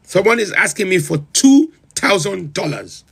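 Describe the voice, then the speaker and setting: A man's voice: sits high, sing-song, normal speed, gravelly, fairly bright tone, slightly rough, exaggerated voice. A man playing a pompous self-styled president of a comic republic, who hosts a livestream and reels off long lists in theatrical disbelief. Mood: bemused. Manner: incredulous, theatrical, lists items with rising emphasis. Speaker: livestream host. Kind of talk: comedic in-character livestream rant.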